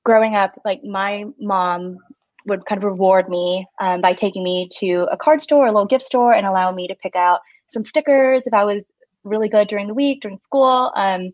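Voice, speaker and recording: A woman's voice: mid-range voice, moderate pace, darkish tone, no echo, muffled recording. A woman in her thirties from Singapore, a stationery shop owner and guest, talking in a friendly way with many fillers. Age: 30s. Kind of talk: casual interview chat.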